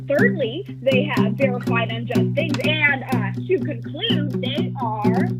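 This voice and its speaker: Comic radio-play constable female actor: self-important, faltering, high-pitched, loud plucked guitar underneath. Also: poor audio. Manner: pompous, halting, filler-laden delivery. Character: bumbling, self-important constable muddling his charges. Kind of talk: Shakespeare radio play acting. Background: guitar music.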